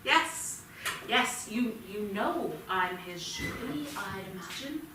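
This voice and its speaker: A woman's voice: medium pitch, animated, slow. An actress playing a protective, indignant mother in a staged play reading, flustered and defensive. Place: theatre stage, indoors. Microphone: distant room microphone. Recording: very echoey theatre, distant mic, noisy.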